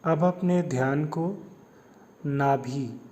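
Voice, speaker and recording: A man guides a meditation in a slightly high, mellow voice. His delivery is very slow and measured, leaving long pauses between instructions. He sounds like a coach taking listeners through each step in turn. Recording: long hall-like reverb on the voice.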